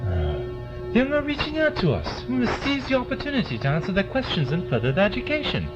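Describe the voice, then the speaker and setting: A man's voice: sits high, huge pitch swings, quick pace. A man playing a pedantic, genial eccentric scientist in a science fiction audio drama, lecturing with fussy precision and understatement. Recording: lo-fi audio under loud music.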